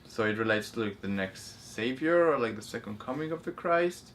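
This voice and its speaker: A man's voice: mid-range voice, lively intonation, moderate pace, fairly bright tone, a little hiss or hum. A man co-hosting a casual movie discussion, explaining things in a hesitant, self-correcting way. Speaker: co-host of a spirituality review show.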